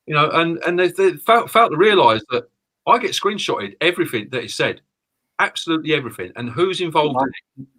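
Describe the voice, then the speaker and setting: A man's voice: on the high side, sharp tone. A man co-hosting a live stream, agreeing and ranting, often repeating and stumbling over his words. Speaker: co-host, business owner.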